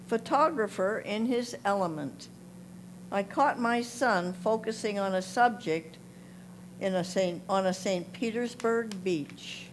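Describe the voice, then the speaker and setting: A woman reads photo captions and notes at an unhurried, slow pace. Her voice sits at a middle pitch, with a clear noisy hum behind it. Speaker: reader of photographers' comments. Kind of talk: reading prepared notes aloud. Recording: clear hum and background noise.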